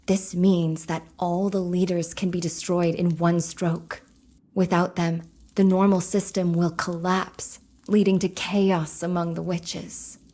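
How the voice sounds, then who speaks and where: A young rebel witch from a fantasy video game, with a voice a little lower than most women's. She is earnest and determined, speaking in a formal, resolute way. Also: level tone, slow, warm tone. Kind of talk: video game cutscene acting.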